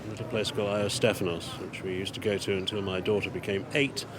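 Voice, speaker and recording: A man's voice: low voice, flat delivery, fast, a crowd in the background. A middle-aged man interviewed on the street who answers in a dry, matter-of-fact way. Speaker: passer-by interviewee. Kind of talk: casual chat. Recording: street recording with clear traffic noise.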